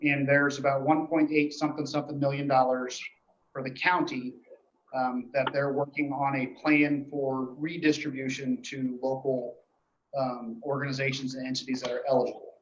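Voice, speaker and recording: A man gives an informal report to a board at a meeting, explaining things in a halting, matter-of-fact way. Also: mid-range voice, flat delivery, slight background noise. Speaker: emergency dispatch official reporting to a board. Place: indoor meeting room. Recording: echoey meeting room, dull muffled audio.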